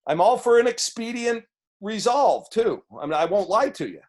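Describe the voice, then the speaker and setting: A man with a booming voice answering press questions as a head coach, earnest and halting with restarts, on a video call. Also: expressive, a little mellow.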